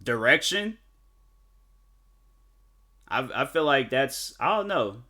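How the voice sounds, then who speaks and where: A man's voice: medium pitch, big pitch swings, takes it slowly, sharp tone. A young man chatting casually while streaming a racing game, rambling with many fillers and restarts. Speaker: gaming streamer. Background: game music and car engine sounds.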